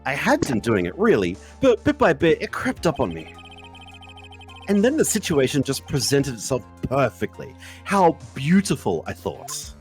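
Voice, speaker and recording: A man's voice: medium pitch, huge pitch swings, normal speed, soft background music. A man voicing a smug, manipulative celebrity killer with a theatrical, condescending, mock-sweet drawl in a game fan dub. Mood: smug, boastful. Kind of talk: live fan dub of a visual novel game.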